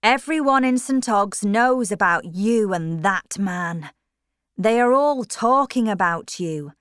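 A woman's voice: medium pitch, lively intonation, slow pace, fairly bright tone, clean tone, no echo, clean studio sound. A woman voicing a stern, unforgiving brother in a storybook reading, speaking harshly and accusingly. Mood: cold anger.

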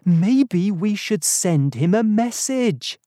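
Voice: mid-range voice, lively intonation, slow, dark tone, dry recording, studio quality, pitched above the performer's own voice. A narrator voicing a worried, earnest man in a storybook, speaking anxiously and carefully. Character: worried, earnest Englishman afraid of a curse.

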